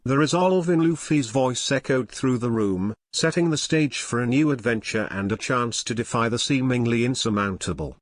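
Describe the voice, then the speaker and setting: Man, audio drama narrator, scripted storytelling, mid-pitched, dry studio sound. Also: normal speed, few pauses, dry recording.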